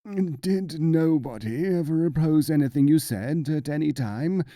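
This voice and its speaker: Querulous old eastern sage acted by a man, raised pitch. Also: a little slow, mellow, no room sound, close to an everyday voice. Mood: irritated. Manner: ruffled, querulous.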